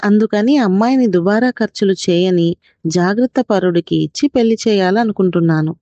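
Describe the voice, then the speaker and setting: A woman voicing a thrifty, observant village husband who reasons in a measured way in a children's story. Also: medium pitch, dark tone, no echo, highs cut off, close to an everyday voice. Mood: calm, reflective.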